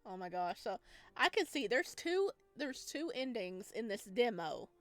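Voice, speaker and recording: A woman's voice: a little high, very animated, unhurried, no echo. A woman giving chatty, playful commentary on a playthrough, reading lines aloud as she goes. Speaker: game streamer. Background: game music.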